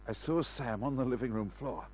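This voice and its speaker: A man's voice: mid-range voice, darkish tone, slight background noise, close to an everyday voice. An actor playing a wounded, desperate man on the run, stammering weakly in an old radio crime drama. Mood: weary, halting. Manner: halting, stammering, pleading. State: weak, in pain.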